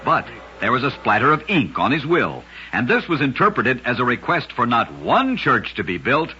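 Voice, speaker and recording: A man narrating short scripted stories in an old-time radio style, with a dramatic, deliberate storytelling delivery on a vintage recording. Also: mid-range voice, big pitch swings, pushed and bright, highs cut off, faint music behind. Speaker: radio host and narrator of short true oddities.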